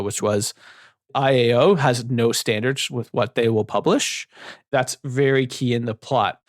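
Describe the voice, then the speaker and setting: A man's voice: mid-range voice, no room sound. Podcast co-host, male, mid-range voice, dry sound, walks through a novel's plot. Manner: explains the plot at length, with fillers. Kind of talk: casual book discussion.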